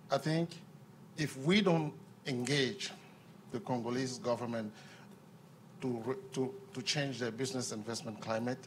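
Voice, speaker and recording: A man's voice: mid-range voice, a little hiss or hum, low-quality recording. Earnest man at a panel. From Cameroon. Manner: earnest, repeats words when restarting. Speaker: audience member at a panel Q&A. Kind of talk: impassioned floor comment. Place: conference room. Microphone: handheld microphone.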